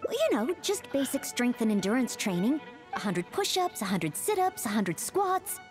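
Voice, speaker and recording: Earnest high-voiced young maid, game. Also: female voice, very animated, normal speed, darkish tone. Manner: courteous, formal, hesitant. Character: earnest, polite young maid aspiring to knighthood. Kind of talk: video game character dialogue. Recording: game music behind the voice.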